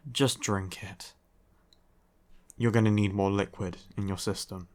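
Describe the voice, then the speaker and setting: Guy doing a grumpy vampire boy for asmr roleplay, real slow and smooth, sarcastic and fed up. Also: fairly low pitch, steady tone. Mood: weary, impatient. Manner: sardonic, sighing, curt. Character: grumpy young male vampire, weary and exasperated. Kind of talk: roleplay audio acting, ASMR.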